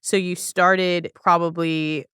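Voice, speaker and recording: Podcast host: female, smooth clear tone, dry recording, relaxed interview chat with explanatory delivery. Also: on the low side, slow, dry recording.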